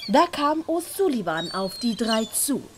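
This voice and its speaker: A woman's voice: fairly high pitch, sing-song, unhurried, noisy background. A woman reading scripted story narration for an audio play.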